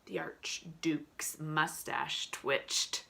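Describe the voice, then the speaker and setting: A woman's voice: deep voice, fairly even intonation, extremely slow, creaky, pushed and bright. A woman reading a children's story aloud with dramatic emphasis, adding casual comments for young listeners. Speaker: teacher reading a picture book aloud.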